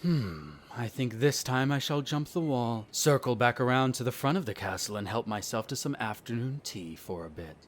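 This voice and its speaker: A man's voice: mid-range voice, lively intonation, normal speed, few pauses, close to an everyday voice. A man acting a smug, contemptuous aristocratic vampire in an audio roleplay, with formal, disdainful diction. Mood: wry, dismissive.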